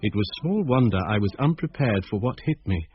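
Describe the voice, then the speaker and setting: An actor playing a thoughtful schoolmaster who narrates a radio drama in a measured, literary, British voice. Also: male voice, lowish voice, expressive, close to an everyday voice. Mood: reflective, ominous. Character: thoughtful mathematics schoolmaster recalling uncanny events. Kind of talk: scripted first-person radio drama narration. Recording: muffled radio drama, loud music behind.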